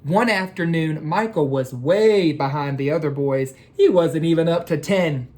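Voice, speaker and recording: A woman educator hosts a storybook read-aloud. Her voice sits a little low for a woman, and she takes her time, setting out each phrase in a deliberate, measured way. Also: darkish tone. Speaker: educator reading a nightly children's read-aloud.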